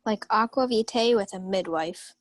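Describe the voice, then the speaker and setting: A woman's voice: mid-range voice, normal speed, lightly acted. A young woman acting a boisterous male reveller who throws scoffing asides in a Shakespeare radio comedy. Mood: amused. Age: young adult. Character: boisterous male reveller spying on a gullible rival. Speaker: student actor. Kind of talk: Shakespeare comedy as 1940s-style radio play.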